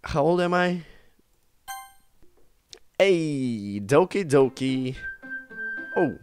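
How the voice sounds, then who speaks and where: Young man, gaming commentary, reading text aloud, animated intonation, background music. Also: on the high side, drawn-out words. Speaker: gaming YouTuber.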